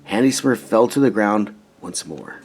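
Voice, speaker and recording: A man reading a children's storybook aloud in an expressive, emphatic way. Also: fairly low pitch, a little slow, noisy background. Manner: animated, emphatic, dramatizing story moments. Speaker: storybook reader.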